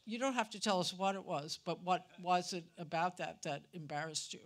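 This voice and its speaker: A woman's voice: lowish voice, fairly quick. An older woman moderating a live panel discussion on an auditorium stage.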